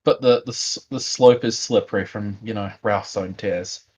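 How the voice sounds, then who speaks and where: A man's voice: fairly low pitch, steady tone, moderate pace, a little mellow. A man co-hosting a casual talk show, joking with mock outrage and restarting his sentences. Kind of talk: casual chat.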